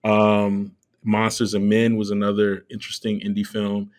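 Male co-host, slightly deep voice, conversational film commentary, measured and hesitant delivery. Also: monotone, darkish tone. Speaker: podcast co-host.

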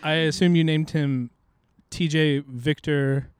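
A man's voice: on the high side, fairly even intonation, takes it slowly, clean tone, no room sound. A man co-hosting a casual sports podcast with dry, joking banter, recorded outdoors in a courtyard. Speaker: podcast co-host.

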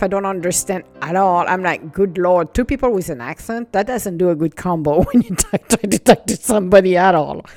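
Accented woman, solo podcast host, lively, laughs a lot, medium pitch, dry recording. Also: no echo. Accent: non-native English accent. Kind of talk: casual solo podcast monologue.

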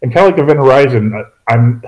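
A man's voice: mid-range voice, quick pace, darkish tone, dull audio. A man co-hosting a casual chat about movies, rambling and correcting himself with dry asides. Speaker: podcast co-host.

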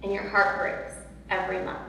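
A woman with a loud voice, telling her personal story earnestly as a guest in an interview, with many fillers and repeats. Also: mid-range voice, monotone, moderate pace, gravelly. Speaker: podcast guest. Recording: echoey hall from far off, faint noise.

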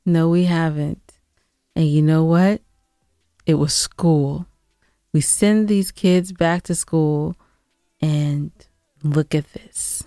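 A woman's voice: low-pitched, fairly even intonation, slow, pauses often, warm tone, no room sound, clean studio sound. A woman co-hosting a podcast in casual banter, sassy and playfully argumentative. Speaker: podcast co-host.

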